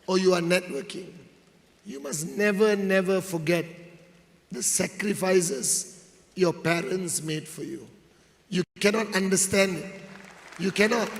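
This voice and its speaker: A man with a Malaysian accent gives a motivational storytelling speech, emphatic and repeating phrases as he checks in with his listeners. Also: high voice. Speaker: motivational speaker. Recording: long hall reverb.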